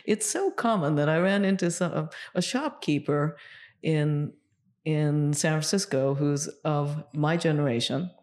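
A middle-aged woman, a native Japanese speaker from Japan, telling stories from her past in a relaxed interview, with many "yeah"s. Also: low voice, unhurried. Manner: reflective storyteller, lots of yeah fillers. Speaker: guest, sex educator. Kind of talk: casual interview conversation.

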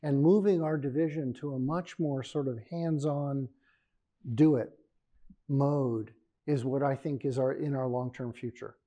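An older professor answering audience questions in a large lecture hall, often ending points with a quick "right?". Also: male voice, medium pitch, steady tone, mellow. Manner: ends points with a tag "right?". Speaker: keynote speaker, professor.

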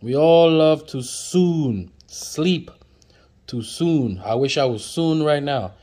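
A man's voice: on the high side, lively intonation, slow pace, warm tone. A man, chatting through flashcards as he teaches words, repeating each one and joking with viewers. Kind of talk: casual flashcard lesson. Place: indoors at a table, off camera.

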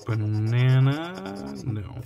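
Tired man with a gravelly late-night voice. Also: very animated, very slow, warm tone, soft background music. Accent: Oregon US English. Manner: reads symbols aloud in a low, tired murmur. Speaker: scratch-ticket channel host. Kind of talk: casual narration while scratching tickets. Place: at home, at a table at night. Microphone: phone or camera looking down at the table. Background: coin scratching and clicks.

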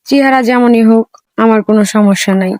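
A woman's voice: a little high, moderate pace, darkish tone, dry recording. A woman gives earnest, plain-spoken, pleading answers as an interview guest. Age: 20s. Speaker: interview guest seeking marriage.

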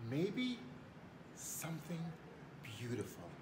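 A man in his forties reading a picture book aloud with dramatic pauses, putting on voices for characters. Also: on the high side, huge pitch swings. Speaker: school staff member reading aloud. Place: indoor room at a school. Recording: echoey room, clear background noise.

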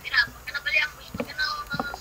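A young woman from Mexico chatting excitedly while playing a game with a friend. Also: sits high, very fast. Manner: excited, teasing. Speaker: co-player. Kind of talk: casual gameplay chat. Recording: very noisy mic.

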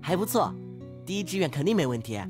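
Gentle, bookish male student played by a man, earnest and courteous, slightly high-pitched, radio play with music behind. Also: big pitch swings, fast talker. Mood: mild concern. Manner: polite, earnest, mild. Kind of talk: amateur audio drama acting.

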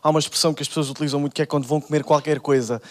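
A man's voice: on the high side, fairly even intonation, racing. A young man with a European Portuguese accent doing a stand-up routine on a TV studio stage, speaking into a handheld mic and acting out the people he quotes in shifted voices. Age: young adult. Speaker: stand-up comedian.

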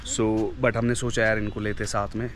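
A man's voice: fairly low pitch, level tone, moderate pace, over a noisy crowd. A man in his thirties hosting a casual travel vlog, chatty and upbeat, holding the camera at arm's length outdoors. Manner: upbeat, chatty, keeps saying amazing. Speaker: travel vlogger. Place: outdoors in mountain forest and inside a car. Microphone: handheld camera at arm's length.

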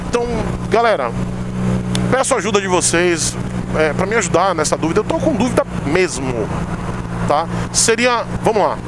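Brief: man, 40s, moto vlogger, high-pitched voice, rambling chatty asides, recorded on the bike with loud engine and traffic roar. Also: sing-song, moderate pace. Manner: chatty, thinking aloud, frequent "tá?" and "galera" asides. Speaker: motorcycle vlogger. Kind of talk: casual spoken vlog while riding. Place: riding a motorcycle in heavy city traffic outdoors. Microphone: helmet or bike-mounted camera mic. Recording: loud road and engine noise, wind. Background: traffic and motorcycle engine.

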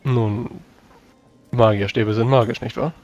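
A man playing a tabletop roleplaying game, speaking in character with measured, formal phrasing. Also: mid-range voice, fairly even intonation, a little mellow, lo-fi sound, soft background music.

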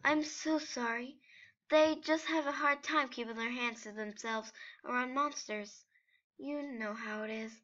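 A gentle but prim monster mother from a comic dub played by a woman. The voice is high and slow, with polite motherly firmness, on a slightly muffled recording. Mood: apologetic. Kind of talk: amateur comic dub acting.

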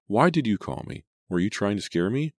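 Nervy teenage babysitter girl, read by a man for a suspense story: higher-pitched, urgent, guilt-prone. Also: very animated, fairly quick, darkish tone, no echo, clean studio sound. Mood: apologetic, guilty. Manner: urgent, fretful.

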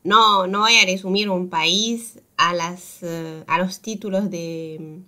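A woman taking part in a podcast interview, speaking in a thoughtful way and often pausing to find her words. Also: lowish voice, fairly even intonation, pushed and bright. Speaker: podcast guest.

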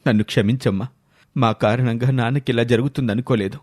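A man's voice: mid-range voice, fairly even intonation, fairly quick, darkish tone, soft background music, lightly acted. Man acting a subdued, pleading grown son. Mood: sad, pleading. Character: sorrowful, apologetic grown son pleading with his mother.

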